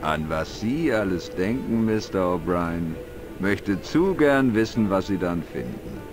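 A man's voice: low-pitched, expressive, measured pace. An actor playing a simple, gullible, talkative village man in an adventure game, with naive, colloquial speech. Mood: chatty, baffled. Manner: naive, colloquial, exaggerated swings of emphasis. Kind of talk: dubbed adventure game dialogue. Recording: music behind the voice. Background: game music.

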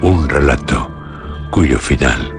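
Castilian guy hosting radio horror, gravelly portentous narration, music playing behind him. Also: rumbling low, animated. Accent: Castilian Spanish. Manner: portentous, deliberate short phrases. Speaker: radio show presenter. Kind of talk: solemn, suspenseful scripted horror-show narration.

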